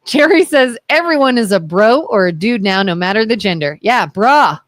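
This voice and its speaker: A woman from Ohio co-hosts a casual talk radio show and tells stories with animated, sing-song delivery, slipping into the voices of the people in them. Her voice sits a little high and swings widely in pitch. It is recorded close and dry in a studio. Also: moderate pace, no room sound. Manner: animated storyteller who acts out the voices in her anecdotes. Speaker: co-host.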